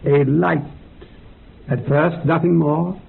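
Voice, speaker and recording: Old scientist man in a radio play, really husky, measured storytelling, kinda muffled recording. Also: on the high side, steady tone, heavily acted. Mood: measured, reflective. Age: older. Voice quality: gravelly, husky. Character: old male researcher, self-effacing, dryly recounting a meditation experiment. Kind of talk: radio drama monologue.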